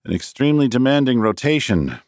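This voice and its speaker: A man's voice: mid-range voice, sing-song, a little fast, a little mellow, dry recording, studio quality, pitched above the performer's own voice. A man voicing a cold, weary young male doctor who speaks tersely and guardedly. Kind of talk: audiobook character reading.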